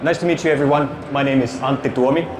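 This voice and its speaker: A man's voice: medium pitch, steady tone, fast. A middle-aged man from Finland gives a casual conference talk on a handheld mic, full of fillers and restarts. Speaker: conference speaker, security consultant. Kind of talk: conference presentation. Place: conference stage in an exhibition hall. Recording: echoey hall with ambient noise.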